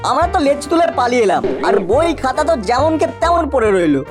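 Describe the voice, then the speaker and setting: A woman acts as a cheeky cartoon boy of ten with a high, very lively voice. He is breezy and wisecracking, always scheming, and talks quickly. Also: music in the background. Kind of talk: comic cartoon dialogue.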